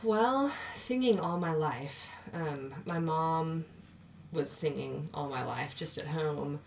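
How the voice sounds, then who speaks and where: Woman in her thirties, low alto voice, unhurried, self-deprecating guest; slightly distant mic, faint room hum. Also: gravelly. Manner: relaxed, self-deprecating, many fillers. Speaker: podcast guest. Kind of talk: casual conversation.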